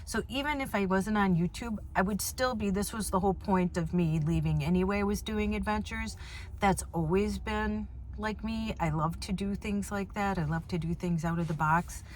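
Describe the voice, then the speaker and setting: A woman's voice: mid-range voice, normal speed, slight background noise. A woman, chatting casually to camera in a van in a rambling way, full of fillers. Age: 50s. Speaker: vlogger. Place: inside a van.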